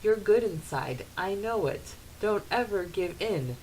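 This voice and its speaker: A woman's voice: mid-range voice, animated, measured pace, a little mellow, low-quality recording. A giggly woman co-hosting a podcast, casually discussing a book and reading out quotes from it. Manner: giggly, often laughing. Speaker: podcast co-host. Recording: hissy background noise.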